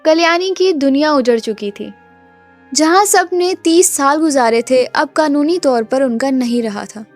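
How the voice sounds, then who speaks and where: A woman's voice: sits high, a little slow, soft background music. A woman narrator reading a scripted, dramatic cartoon story.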